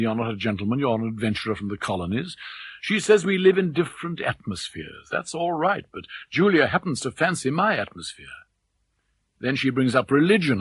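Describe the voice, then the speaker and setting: A man's voice: medium pitch, fairly bright tone, husky, dry recording. A man reading a novel aloud as a brash, self-assured businessman suitor, blunt, boastful and slangy. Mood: aggrieved, dismissive.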